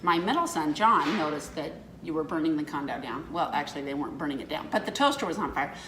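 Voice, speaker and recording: A woman's voice: low voice, lively intonation, fast, a bit bright. Fifties lady chatting away, rambles and backtracks a lot, roomy echo w/ a little hiss. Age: 50s. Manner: rambling, self-correcting storyteller. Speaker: co-host. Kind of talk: casual chat.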